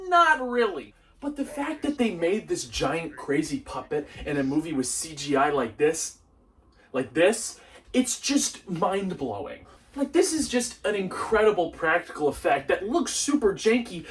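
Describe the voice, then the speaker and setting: A young man doing comedic movie review commentary in a sarcastic, casual, animated way. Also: on the high side, far from the mic. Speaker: movie reviewer and video host.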